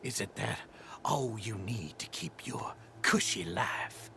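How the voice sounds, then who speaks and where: An actor playing a cruel, self-righteous prison gang boss in a video game, ranting in a theatrical, menacing and grandiose way. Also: male voice, lowish voice, lively intonation, slow, gravelly, bright, forceful tone, slight background noise, exaggerated voice. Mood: indignant.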